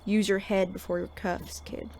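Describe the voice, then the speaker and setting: A woman's voice: medium pitch, little pitch movement, moderate pace, a little mellow, slight background noise, natural voice. A woman playing a weary, sardonic veteran male sheriff in a Western audio drama, with an American accent and a dry folksy drawl. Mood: earnest, firm.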